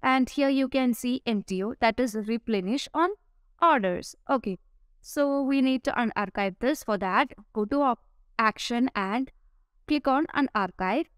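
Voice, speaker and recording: A woman narrating a step-by-step software tutorial over a screen recording, pausing often with "uh" fillers. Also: on the high side, smooth, dry recording, studio quality. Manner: explains step by step with frequent "uh" fillers.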